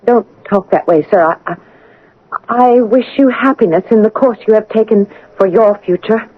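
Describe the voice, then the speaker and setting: An actress playing a prim, earnest young governess in an old-time radio drama, formal, restrained and hesitant, with guarded pride. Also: female voice, medium pitch, normal speed, no echo, natural voice. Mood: pleading, wounded. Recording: dull, band-limited old radio broadcast.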